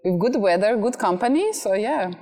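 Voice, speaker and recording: A woman's voice: mid-range voice, expressive, moderate pace, mellow. A cheerful woman in her thirties, born in Russia and raised in France, chatting as an interview guest outdoors at a villa and laughing often. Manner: cheerful, laughs often, speaks in short phrases. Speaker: guest, MC and content creator. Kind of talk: casual interview chat.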